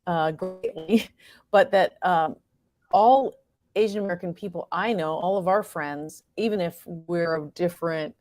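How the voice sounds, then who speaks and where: A woman's voice: fairly low pitch, a little slow, a little mellow, no room sound. A woman being interviewed as a playwright and performer, speaking reflectively and earnestly, often searching for her words.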